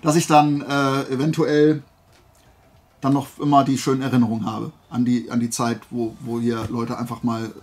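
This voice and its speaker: A man's voice: medium pitch, little pitch movement, normal speed, darkish tone. A man chatting casually and unscripted to viewers as a video host, enthusiastic, colloquial and rambling. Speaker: video host showing collectible finds.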